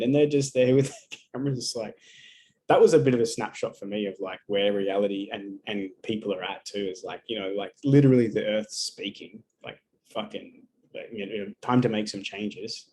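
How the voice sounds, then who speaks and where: A man's voice: lowish voice, dark tone, slight background noise. A man giving a live astrology reading over a video call, talking casually and bluntly and swearing often.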